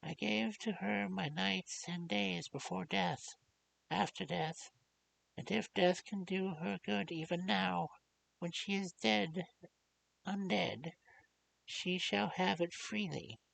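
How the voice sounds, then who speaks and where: A reader voicing a grave, stern old male doctor in an audiobook, speaking slowly and earnestly. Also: medium pitch, animated, vocal fry, pushed and bright, voice pushed up, heavily acted, rough recording. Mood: pitying. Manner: slow, deliberate, earnest pleading. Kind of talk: audiobook character reading.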